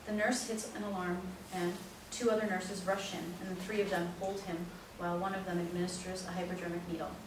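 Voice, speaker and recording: A woman's voice: lowish voice, little pitch movement, brisk, few pauses. Very echoey, distant woman narrator. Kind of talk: scripted stage-direction narration. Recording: very echoey, distant, noisy room recording.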